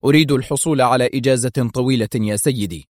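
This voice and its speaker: A man's voice: medium pitch, quick pace, darkish tone, no echo, studio quality, pitched above the performer's own voice, natural voice. A man voicing a determined, indignant male intelligence officer in an Arabic audiobook thriller. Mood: firm, defiant. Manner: indignant, firm, clipped questions and demands.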